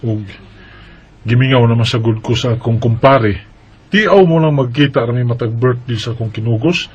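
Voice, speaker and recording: A man's voice: medium pitch, lively intonation, normal speed, slightly rough. A man acting an earnest, hesitant Bisaya partner who explains his plans in a colloquial radio drama style. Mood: coaxing, earnest. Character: earnest Bisaya man saving for land and marriage. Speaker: radio drama lead and letter narrator. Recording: dull lo-fi radio drama recording.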